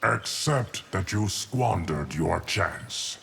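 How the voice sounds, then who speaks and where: An actor playing an ancient, solemn immortal guardian of time, speaking formally and with measure in a fighting game. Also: male voice, low-pitched, lively intonation, extremely slow, creaky, pushed and bright, a little hiss or hum, heavily acted. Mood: solemn, calm. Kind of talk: scripted fighting game dialogue.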